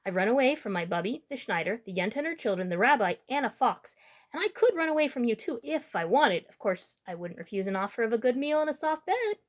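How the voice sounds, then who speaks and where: A woman's voice: fairly high pitch, big pitch swings, fast. A woman voicing a small, boastful, mischievous food boy in a storybook, taunting with Yiddish-flavored phrasing. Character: cocky, mischievous runaway matzo ball boy, small and boastful.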